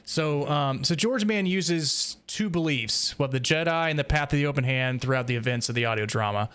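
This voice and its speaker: A man's voice: on the high side, normal speed, a bit bright, clear, resonant tone. A man hosting a roundtable, talking casually through discussion points with fellow fans. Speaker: podcast host leading a roundtable.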